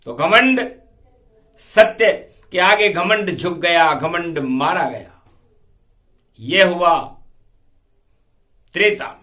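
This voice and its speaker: High, slow-chanting male religious storyteller. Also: sharp tone. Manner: declamatory, drawn-out verse lines broken by quick asides. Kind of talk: devotional storytelling, half-sung rhyming verse mixed with spoken explanation.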